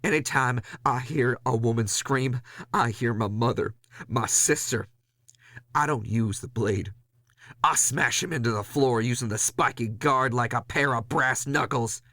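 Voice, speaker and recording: A man playing a weary, hard-boiled ghost hunter in a noir horror audio drama, gravelly, brooding and confessional. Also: mid-range voice, measured pace, bright, forceful tone, no echo, studio quality. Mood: grim, intense. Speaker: narrator and lead character.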